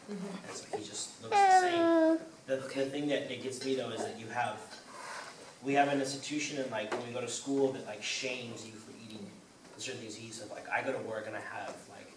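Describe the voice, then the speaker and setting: A man in a conference hall speaks up from the audience with a lively, swooping voice that rambles and circles back on itself. He is picked up from far off in an echoey room with loud background noise. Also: medium pitch. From Eugene, Oregon. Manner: rambling, animated, repeats himself. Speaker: audience member asking a question. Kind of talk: casual question from the floor.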